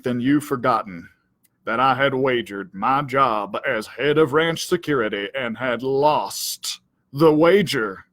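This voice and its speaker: A man's voice: on the high side, fairly bright tone, no echo. A man voicing a self-important, aggrieved cowdog who tells his storybook tale in a pompous, melodramatic, wordy way.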